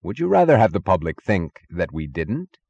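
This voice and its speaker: Audiobook role: irritable male authority figure, commanding and snappish, lively intonation, played by a male reader. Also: sits low, moderate pace, creaky, no room sound, dull audio, full character voice. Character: blustering, irritable male boss barking orders.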